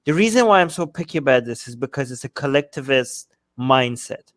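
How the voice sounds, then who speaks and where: A man's voice: mid-range voice, animated, brisk, no room sound, clean studio sound. A man co-hosting a live discussion, giving opinions emphatically, repeating himself and checking for agreement. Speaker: talk show co-host.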